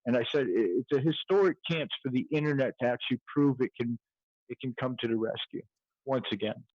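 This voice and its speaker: A man with a hoarse voice talks off the cuff as an activist on a panel stream. He rambles and swears freely in loose slang. The sound is dry and slightly dull. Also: mid-range voice, a little fast, no room sound. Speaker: activist journalist on a livestream panel. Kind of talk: casual impassioned panel chat.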